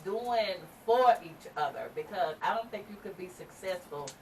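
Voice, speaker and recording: Texan lady hosting radio in a little studio, lowish voice, sounds far from the mic, noisy room. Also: animated, normal speed, a little mellow. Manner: chatty, laughs often, preachy asides. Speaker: radio show host. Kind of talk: casual radio talk. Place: small studio room with a table of mics.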